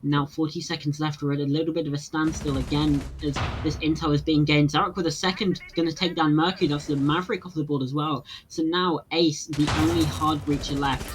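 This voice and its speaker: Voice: mid-range voice, steady tone. Esports caster calling shooter game action. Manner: measured analysis, dry humor. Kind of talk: play-by-play commentary. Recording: broadcast with noisy game audio.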